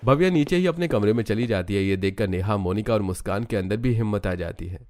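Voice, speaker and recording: A man's voice: lowish voice, quick pace, darkish tone, a little hiss or hum. A man narrating a scripted cartoon story.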